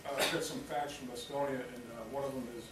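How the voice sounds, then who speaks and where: A man's voice: medium pitch, level tone, fast. A man at a press conference asking jokey, offhand questions. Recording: distant, echoey room with loud noise.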